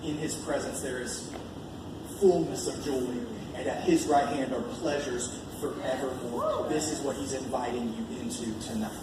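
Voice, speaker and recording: An American man preaching an informal sermon in a colloquial, emphatic way, repeating phrases to drive them home. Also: medium pitch. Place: large echoey room at a live church gathering. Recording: echoey room from far off, noisy.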